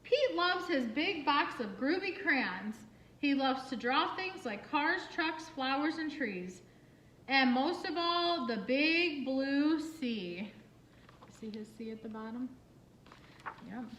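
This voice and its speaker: Slow, high-pitched woman reading to children. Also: slight background noise. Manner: expressive, singsong, asks listeners questions. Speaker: children's librarian reading picture books. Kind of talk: animated storytime read-aloud with asides to young listeners. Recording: roomy, echoing room sound.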